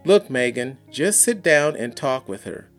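A man voicing a calm, reassuring adult woman with a measured, advising manner in an audiobook. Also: mid-range voice, soft background music, close to an everyday voice.

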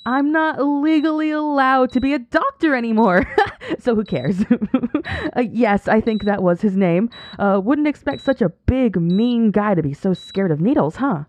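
A woman's voice: a little high, normal speed, a little mellow, no room sound, lo-fi sound, natural voice. A woman playing a chatty, saccharine nurse with an unsettlingly careless manner in an audio drama. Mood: gossipy, careless. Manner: sugary endearments, breezy, chattering.

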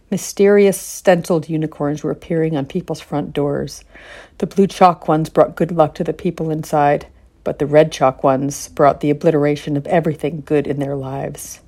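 Female author-narrator for literary fiction, very low pitch, dark mellow tone. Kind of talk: literary audiobook-style reading.

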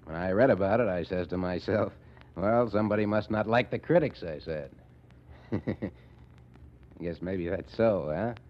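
Deep, cocky American gangster boss. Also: male voice, a little slow, long pauses. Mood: cocky, breezy. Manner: slangy, ungrammatical tough-guy talk. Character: breezy, rough-talking male gang boss, chuckling and cocky. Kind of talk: 1940s radio acting. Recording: muffled old radio recording.

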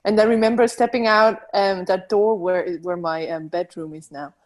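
A woman's voice: medium pitch, level tone, moderate pace. Woman, Swiss German accent, native Swiss German speaker, interview guest, thoughtful, word-searching. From Switzerland. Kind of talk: casual interview conversation. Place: remote from her home.

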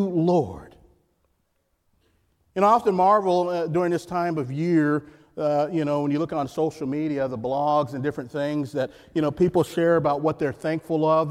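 A man with a loud voice preaching a guest sermon in church, emphatic and repeating key phrases while calling on the congregation to answer. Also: a little high. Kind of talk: sermon with jokes and call-and-response. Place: church sanctuary. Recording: long church hall reverb.

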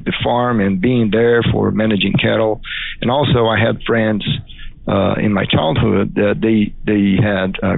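A man's voice: fairly low pitch, vocal fry, dry recording. A middle-aged man from Brazil with a Brazilian Portuguese accent, speaking English as a second language and answering interview questions with many fillers and restarts. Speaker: guest, crop scientist. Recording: dry phone-like audio.

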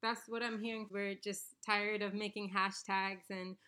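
A woman's voice: mid-range voice, little pitch movement, measured pace, pushed and bright, clean tone. A woman from the Bahamas hosting her own podcast from home, talking in an earnest, reflective, conversational way. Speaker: podcast host. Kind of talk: casual solo podcast talk with a read-aloud quote. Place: home, recorded around napping children.

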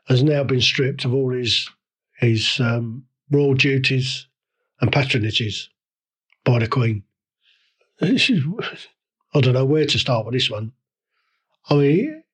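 Slightly croaky man ranting. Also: mid-range voice. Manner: indignant, rhetorical questions, halting restarts. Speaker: podcast host. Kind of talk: solo opinion rant.

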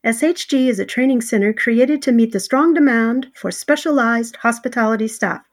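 A woman reading a scripted sponsor message in an even, steady manner. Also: fairly high pitch, moderate pace. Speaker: sponsor announcer.

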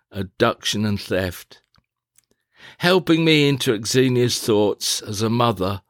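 A man narrating a scripted, reflective personal diary in a measured, deliberate, formal manner. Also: mid-range voice, dry recording, clean studio sound. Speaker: diarist, playwright.

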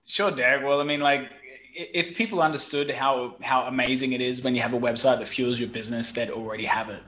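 A middle-aged Australian man, a guest on a podcast call, talking frankly and with lively storytelling, salting it with mild swearing. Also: medium pitch, brisk, low-quality recording. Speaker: guest, website marketing expert. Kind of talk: casual podcast chat. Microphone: remote call.

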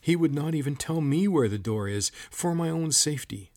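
A man's voice: lowish voice, animated, measured pace, slightly rough, dry recording. A man voicing an elderly woman healer, a pleading and resolute wife in a fantasy story. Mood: urgent, frustrated. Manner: pleading, insistent.

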